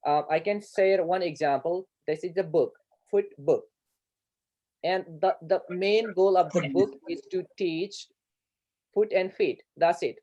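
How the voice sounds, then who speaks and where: A man's voice: high voice, measured pace, mellow, muffled recording. A man from Nepal with a Nepali accent, answering questions in an online education talk. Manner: earnest, repeats words, asks "right?". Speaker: guest speaker, educator. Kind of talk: online lecture Q&A.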